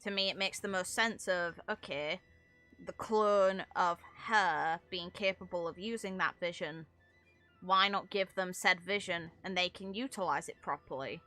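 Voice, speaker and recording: A woman's voice: fairly low pitch, a little slow, sharp tone, faint music behind. A woman talking casually and analytically through game lore, with opinionated, rambling asides. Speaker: content creator commentating on a game.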